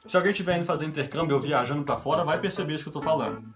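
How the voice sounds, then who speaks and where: Homem falante e acelerado, com violão ao fundo. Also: male voice, fairly high pitch, very fast. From Brazil. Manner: chatty anecdotal, quoting others in his own voice. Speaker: vlogger. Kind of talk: casual storytelling vlog. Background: background guitar music.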